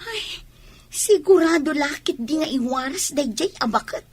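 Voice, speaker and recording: High-voiced headstrong young Ilocano woman. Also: expressive, moderate pace, darkish tone, no echo. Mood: indignant. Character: headstrong young woman in love, defensive with elders. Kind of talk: Ilocano radio drama acting.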